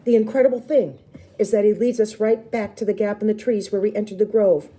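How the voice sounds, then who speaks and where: A woman's voice: mid-range voice, fairly even intonation, a little fast, dark tone. A woman storyteller reading a children's book aloud expressively, with brief explanatory asides to listeners.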